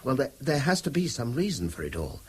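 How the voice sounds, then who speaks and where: An actor in a radio drama playing a tense, formal British schoolteacher with a short temper and precise wording. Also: male voice, medium pitch, big pitch swings, a little fast, slightly rough. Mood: tense, grim.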